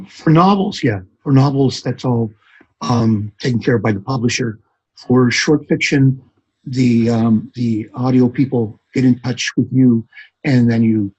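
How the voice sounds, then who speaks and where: Halting man with a very husky voice. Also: medium pitch, darkish tone, lo-fi sound. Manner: halting, hesitant, repeating words. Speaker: guest author interviewed. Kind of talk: podcast interview conversation.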